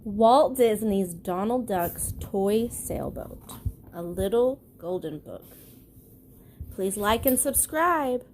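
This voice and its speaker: A woman's voice: medium pitch, expressive, slow, a little mellow, a little hiss or hum. A woman reading a children's storybook aloud at bedtime, as the narrator. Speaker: storybook reader.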